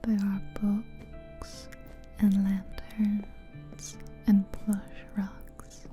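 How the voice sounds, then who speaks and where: Woman whispering asmr style, super slow n soothing, really dark soft voice, music behind. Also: mid-range voice, monotone. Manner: slow, soothing, pausing between short phrases. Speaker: ASMR host and guide. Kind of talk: soft-spoken whispered ASMR guided visualization. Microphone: close stereo ASMR microphone. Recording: close ear-to-ear mic, music behind.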